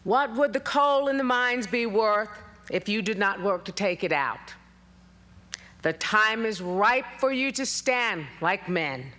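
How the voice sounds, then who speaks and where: An actress playing a feisty, defiant elderly female union organizer rallying miners in a forceful, wry, declamatory dramatic reading. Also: medium pitch, animated, slow. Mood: rousing, defiant.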